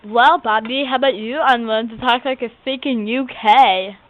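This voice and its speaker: A woman's voice: on the high side, normal speed, natural voice, low-quality recording. A woman voicing a blunt, defensive debater with a lisp in an amateur comedy skit. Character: defensive, bickering debater with a funny voice. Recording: faint background noise.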